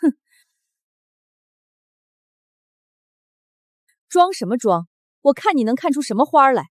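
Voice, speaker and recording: High, swooping haughty schemer. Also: female voice, very animated, quick pace, no echo, studio quality. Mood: sneering. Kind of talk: Chinese short drama acting.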